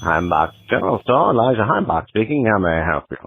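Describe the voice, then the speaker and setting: An actor playing an earnest, easily baffled 1940s general store keeper, in folksy, hesitant, polite old radio comedy style. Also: male voice, lowish voice, big pitch swings, fast talker, vocal fry, noisy background. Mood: helpful, puzzled. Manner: hesitant, folksy, formally polite shop talk. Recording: muffled tinny old telephone line.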